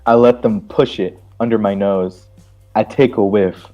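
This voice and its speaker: A man's voice: lowish voice, fairly even intonation, a little slow, muffled recording, soft background music. A man reading visual novel narration aloud among friends in a playful, jokey way.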